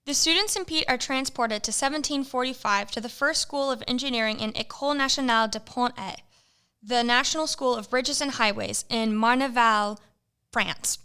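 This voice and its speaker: A woman narrating an amateur audio adventure in a playful, measured way. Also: a little high, pushed and bright, smooth. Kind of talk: scripted storybook narration.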